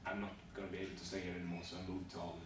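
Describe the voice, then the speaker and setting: A man from Sweden, answering interview questions haltingly, with many fillers and restarts. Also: sits low, little pitch movement. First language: Swedish. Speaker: job applicant in a practice interview. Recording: echoey room, distant mic, background noise.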